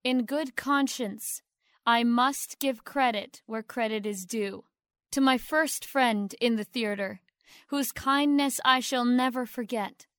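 Woman playing a demure actress, high and unhurried. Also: dry recording, clean studio sound. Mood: humble, proud. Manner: measured, formal, demure, with deliberate pauses. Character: poised young actress, humbly grateful, sweetly calculating. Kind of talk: theatrical award acceptance speech in audio drama.

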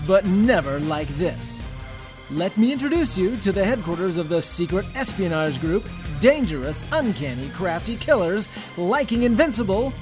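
An actor playing a theatrical storybook narrator, delivering dramatic scripted narration for an audio drama. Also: male voice, high-pitched. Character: theatrical storybook narrator of a mock spy tale.

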